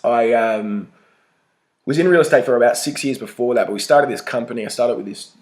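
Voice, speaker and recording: A man's voice: medium pitch, brisk, darkish tone. A young Australian man talking informally and slangily in a casual documentary interview. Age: young adult. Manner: informal, slangy, mild swearing. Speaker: battle rapper being interviewed.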